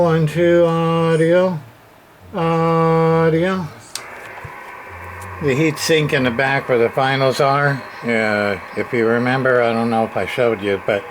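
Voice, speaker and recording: A man's voice: a little high, slow, low-quality recording. A man giving an informal hands-on repair walkthrough in a rambling way, muttering to himself as he goes. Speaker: radio repair hobbyist. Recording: clear background noise, amateur workbench video.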